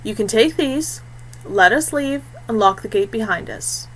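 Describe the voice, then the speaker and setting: A woman voicing a wary, pragmatic young male warrior in an audiobook, brisk and matter-of-fact. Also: on the high side, expressive, a little hiss or hum, poor audio. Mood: coolly threatening.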